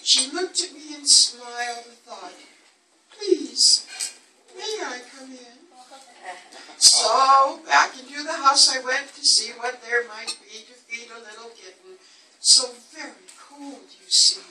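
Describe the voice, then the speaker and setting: A woman reading rhyming poems aloud at an event, with a measured, dramatic storytelling delivery. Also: high-pitched, pushed and bright. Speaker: poet reading at a book launch. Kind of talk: recited rhyming poetry reading. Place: indoor book launch event. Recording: echoey room, distant mic, faint noise.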